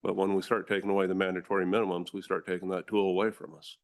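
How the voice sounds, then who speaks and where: A deep, low-pitched American man testifies before a legislative committee. He speaks with forceful conviction. He addresses the room directly, like a prosecutor making his case. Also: little pitch movement, racing. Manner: forceful, earnest, addresses the room as ladies and gentlemen. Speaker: district attorney testifying.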